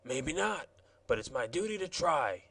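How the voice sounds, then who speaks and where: A man's voice: fairly high pitch, sing-song, measured pace, vocal fry, a bit bright, husky, heavily acted. A man reading a storybook aloud, voicing a rambling, easygoing wandering man in a chatty, offhand way. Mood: confident. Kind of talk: audiobook character voice.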